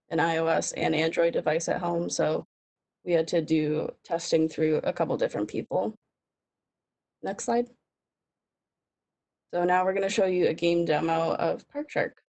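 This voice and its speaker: Young woman with heavy vocal fry. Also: lowish voice, darkish tone. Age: young adult. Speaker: student presenter. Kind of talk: slide presentation.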